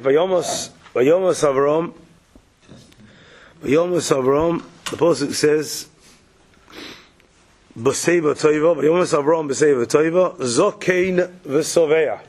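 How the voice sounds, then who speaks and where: A man with a cold, croaky, giving a morning Torah lecture as a rabbi, posing questions and answering them step by step. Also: on the high side, a little hiss or hum. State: has a cold.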